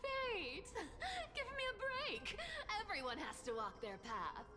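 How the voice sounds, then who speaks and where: A woman's voice: extremely high pitch, very animated, slow pace, full character voice. A woman voicing a cocky young female thief in a video game, a defiant trickster with a taunting, theatrical delivery. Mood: defiant, scornful. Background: game music.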